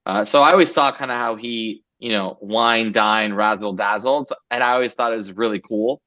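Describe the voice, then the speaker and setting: A man's voice: fairly low pitch, moderate pace, bright, forceful tone, dull audio. A man in his forties from Los Angeles, a guest entrepreneur chatting casually in an interview, loose and rambling with many fillers.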